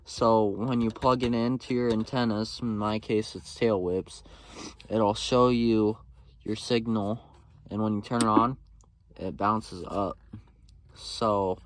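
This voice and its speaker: A man giving a casual hands-on tutorial in an informal, unpolished way, thinking aloud as he works. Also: lowish voice. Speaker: amateur video host. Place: in and around a pickup truck. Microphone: phone camera mic. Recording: noisy phone recording, vehicle noise, clicks.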